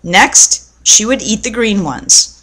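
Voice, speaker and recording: A woman reading a children's storybook aloud to young listeners in a deliberate, explanatory way. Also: medium pitch, expressive, fairly bright tone, poor audio.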